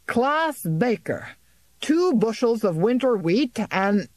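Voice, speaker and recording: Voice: mid-range voice, sing-song, slow pace, dry recording, voice pushed up. A reader voicing a fat, blustering male bailiff who bullies and bluster.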